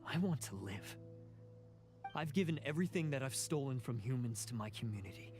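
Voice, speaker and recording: A man playing a bitter oni bandit in a game, stern and resigned, in a mid-range voice. Clear game music plays underneath. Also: mid-range voice, animated, brisk, darkish tone, natural voice. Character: grim blue oni bandit, bitter and proud. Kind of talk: studio game voice acting.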